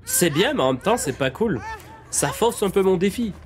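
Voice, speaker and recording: Brief: young male streamer with a head cold, lively up-and-down delivery, slightly high voice, live game chat to viewers. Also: moderate pace, a faint crowd. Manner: excitable, repeats himself, chats with viewers. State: nasal from a cold. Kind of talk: live gameplay commentary.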